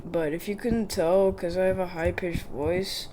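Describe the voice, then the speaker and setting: A man's voice: fairly even intonation, unhurried, a little mellow. A teenage male gamer giving casual, rambling, jokey commentary while playing and reading game dialogue aloud. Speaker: gamer recording a playthrough. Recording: very noisy, car-like rumble.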